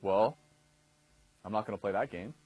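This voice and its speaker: A man in his thirties leads a class, his voice a touch low and slightly husky. He talks casually and keeps pausing to check that his listeners are following. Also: a little mellow, no room sound, lo-fi sound. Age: 30s. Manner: conversational, often checks in with "okay?". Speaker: dating coach instructor. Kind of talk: instructional talk to a class.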